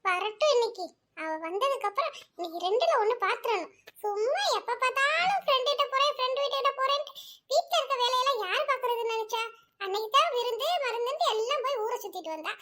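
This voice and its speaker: A woman voicing a sharp-tongued old mother-in-law in a cartoon, nagging and calling out loudly. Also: extremely high pitch, expressive, fast, heavily acted. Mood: grumbling. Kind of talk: cartoon voice acting.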